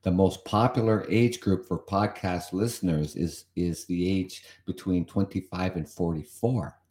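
A man's voice: deep voice, fairly even intonation, measured pace, warm tone, roomy sound. A Canadian man hosting a solo podcast, chatting and commenting as he reads from an article, with some repetition. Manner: chatty, repeats himself, comments on what he reads. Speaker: podcast host.

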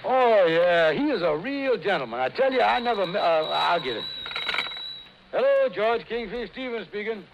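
A high-pitched, evasive schemer from an old radio comedy, stammering and folksy. His grammar is loose and his manner flustered, on a dull recording thick with hiss and clicks. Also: sing-song, normal speed, vocal fry, full character voice. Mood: folksy, agreeable. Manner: stammering, folksy, ungrammatical talk.

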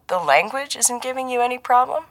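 A woman's voice: deep voice, moderate pace, creaky, a bit bright, slightly rough, dry recording, exaggerated voice. Woman voicing a mild, dry male intelligence handler. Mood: teasing, mock innocent. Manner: bantering, mild, turning businesslike.

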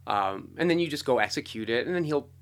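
A man talking informally as an interview guest, telling stories in an animated way and often adding "you know" and "like". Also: medium pitch, fast. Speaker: guest, composer and orchestrator. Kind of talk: conversational interview storytelling.

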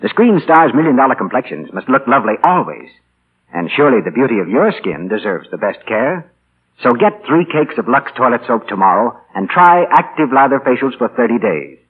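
Animated 1940s radio announcer, a man. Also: medium pitch, a little fast, slightly rough. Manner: polished, chatty sales patter. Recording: scratchy, tinny 1940 radio broadcast.

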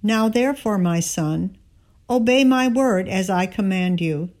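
A woman reads a passage of scripture aloud as narration with dialogue. Her voice sits at a medium pitch. She takes it very slowly, with deliberate, measured phrasing. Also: animated, a little mellow. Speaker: scripture reader.

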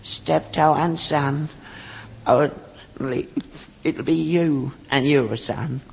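A crotchety old London rag-and-bone man in a sitcom, whining and wheedling. His voice is gravelly and fairly high, in a noisy, echoing old recording. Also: fairly even intonation, very slow, full character voice. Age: older. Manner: querulous, whining, melodramatic. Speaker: actor in a comedy. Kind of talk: British sitcom acting.

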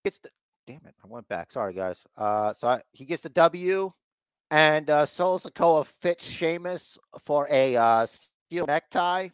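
Male co-host, slightly high, smooth voice, dry close mic, rambling and congested. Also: lively intonation, measured pace, dry recording. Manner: rambling, puts on mocking voices for quotes. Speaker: podcast co-host recapping wrestling. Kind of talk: casual chat.

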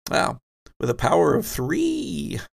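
Guy game master, bit of gravel and fry in his voice, chatting players through a tabletop game. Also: a little high, wildly swooping pitch, takes it slowly. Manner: conversational, guiding players with questions. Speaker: game master and podcast host. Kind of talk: running a tabletop roleplaying game.

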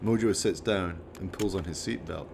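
A man's voice: low voice, normal speed, vocal fry, noisy background. A man narrating a scripted radio play in a dramatic storytelling manner.

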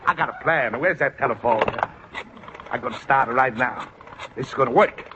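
An actor playing a boisterous, conniving middle-aged Italian immigrant restaurant owner in a radio sitcom, with a thick Italian accent and blustering broken English. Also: male voice, medium pitch, moderate pace, natural voice. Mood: scheming. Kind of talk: old-time radio sitcom acting. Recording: dull, noisy vintage radio recording.

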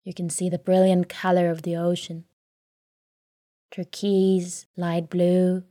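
Female meditation guide: unhurried, soothing delivery, lowish voice, gentle pauses for a bedtime relaxation script. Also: completely flat delivery, no echo, clean studio sound. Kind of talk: guided sleep meditation, soft scripted narration.

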